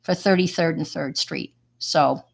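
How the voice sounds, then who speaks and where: A woman's voice: sits low, measured pace, gravelly. A retired woman teacher with a New York accent, chatting casually while showing her artwork, rambling and poking fun at herself. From Long Island, New York. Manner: rambling, self-deprecating, chatty with fillers. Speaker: retired teacher and hobby artist. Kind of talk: casual show-and-tell chat.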